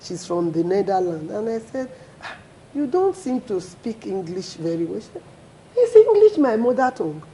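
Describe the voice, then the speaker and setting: A Nigerian woman speaks as a guest in a studio discussion. Her voice is mellow, and her pitch rises and falls a great deal. She argues earnestly and backs up her points with stories. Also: sing-song, moderate pace, rough recording. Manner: earnest, emphatic arguing with anecdotes.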